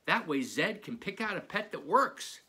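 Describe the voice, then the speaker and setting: A man reading aloud as a children's author, in an animated storybook style. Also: high voice, moderate pace, slight background noise.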